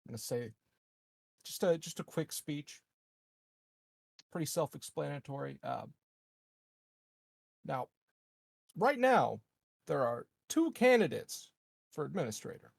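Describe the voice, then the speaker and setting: A man's voice: a little high, big pitch swings, a little slow, gravelly, pauses often, dry recording, clean studio sound. A man playing a tabletop roleplaying game, speaking in character in a blunt, colloquial, casual way.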